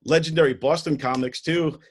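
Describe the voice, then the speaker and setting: A man's voice: fairly high pitch, steady tone, a little fast, slight background noise. A man with a Long Island accent hosting a casual interview over a video call, laughing along with his guest. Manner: laughs a lot, chatty. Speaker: host.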